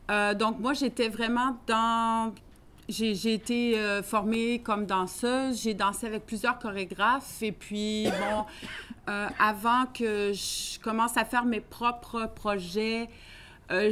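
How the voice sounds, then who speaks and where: A woman from Canada, a dancer giving a measured, thoughtful lecture with frequent fillers. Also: on the high side. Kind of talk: lecture talk.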